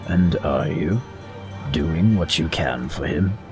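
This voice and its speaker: A man playing a courteous, tactful royal advisor in an audio drama, with formal and measured phrasing. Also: extremely low pitch, animated, over music, exaggerated voice. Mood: gently probing.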